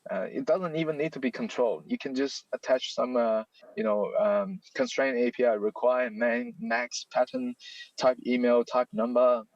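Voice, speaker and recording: Animated Chinese-accented man in his thirties, emphatic guest; muffled, dry call sound. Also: mid-range voice, moderate pace, no echo. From China. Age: 30s. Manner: animated, emphatic, frequent restarts. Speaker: guest, software library author. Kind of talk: casual interview chat.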